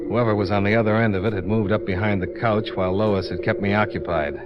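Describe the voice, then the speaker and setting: An actor playing a cool, wry insurance investigator in a 1950s radio detective drama, with a clipped delivery. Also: male voice, fairly low pitch, fairly even intonation, quick pace, heavy background noise, lightly acted. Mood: dry narration. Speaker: lead actor and narrator. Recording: muffled old radio recording with music.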